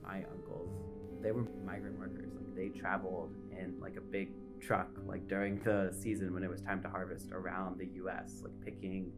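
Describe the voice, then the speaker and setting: Low, gravelly-voiced woman; easygoing documentary interviewee. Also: normal speed. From Texas, Tejano family. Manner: casual, relaxed storytelling with many fillers. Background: guitar music.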